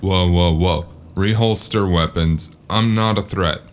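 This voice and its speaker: A man playing a world-weary, wry noir private investigator in an audio drama, with a gravelly voice. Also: low-pitched, unhurried, a little hiss or hum. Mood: nervous, sardonic.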